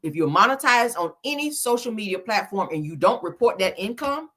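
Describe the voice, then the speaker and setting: Lady doing reaction commentary, bright forceful voice, swoops all over, blunt and scolding, cusses a lot. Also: medium pitch, sing-song, fairly quick. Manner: blunt, scolding, heavy profanity; emphatic, repeats phrases for effect. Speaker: YouTuber commentating on celebrity and viral topics.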